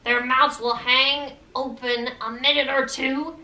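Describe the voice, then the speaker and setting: A young woman voicing a sly, grumpy old male creature in a storybook reading, smug and smooth-talking. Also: sits high, flat delivery, unhurried, bright, forceful tone, echoey room, full character voice. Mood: gloating.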